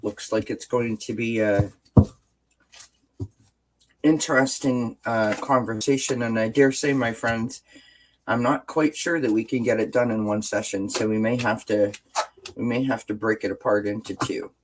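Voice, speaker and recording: A woman hosting a casual livestream from home with a stuffed-up nose, greeting viewers in the chat by name with pet names and often pausing on filler words. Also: a bass voice, fairly even intonation, slight background noise, low-quality recording. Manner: frequent filler words and restarts. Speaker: livestream host. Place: indoors at home, lights off, sunny window behind.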